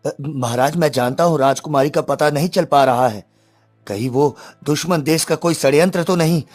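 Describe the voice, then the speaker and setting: A man's voice: on the high side, normal speed, darkish tone, soft background music, natural voice. Man playing an earnest young cartoon prince. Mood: concerned. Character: courteous, concerned young visiting prince. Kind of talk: Hindi cartoon story voice acting.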